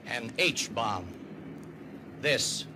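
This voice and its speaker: An American man narrating a 1950s radio civil defense documentary in a grave, emphatic, deliberate manner. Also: medium pitch, animated, background noise. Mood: grave, urgent. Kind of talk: 1950s radio documentary narration, dramatic public-service announcing. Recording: vintage 1950s radio recording.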